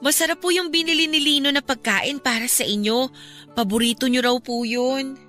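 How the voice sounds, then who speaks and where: A nervous young woman in a Filipino radio drama. Her voice is fairly high, timid and courteous, and music runs behind it. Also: normal speed, bright, forceful tone. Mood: polite, uneasy. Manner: timid, polite. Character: nervous, polite young woman, son's companion.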